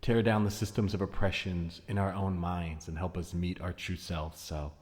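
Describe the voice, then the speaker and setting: Low-voiced, halting folk frontman Seth, acted by a man. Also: flat delivery, darkish tone. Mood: earnest, awkward. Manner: hesitant, halting, repeats himself. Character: Seth, earnest, awkward, pleading activist folk-band frontman. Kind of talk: improvised comedy.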